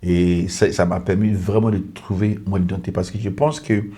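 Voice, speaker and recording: Earnest pastor. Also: male voice, low-pitched, steady tone, moderate pace, mellow. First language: English. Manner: earnest, repetitive, lists in short clauses. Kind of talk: testimony in a podcast conversation.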